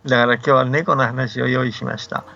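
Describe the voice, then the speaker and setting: A man telling folktales as a guest on a radio show, chatty and relaxed, with asides to the host, heard over a muffled remote line. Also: mid-range voice, a little fast, gravelly. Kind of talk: folktale telling in casual talk. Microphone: remote line to the studio.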